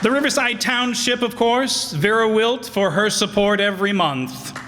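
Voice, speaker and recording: A man's voice: high-pitched, moderate pace. A man hosting a live show, casually and appreciatively reading out cast credits and thanks. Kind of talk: casual live curtain-call announcements. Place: town hall, live before an audience. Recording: echoey hall, loud crowd and noise. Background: loud crowd.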